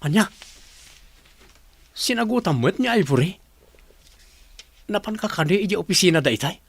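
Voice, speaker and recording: A man's voice: high-pitched, sing-song, normal speed, breathy edge, dry recording, heavily acted. A man playing a confident, easygoing suitor in an office radio drama. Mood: casual, curious. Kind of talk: Ilocano radio drama acting.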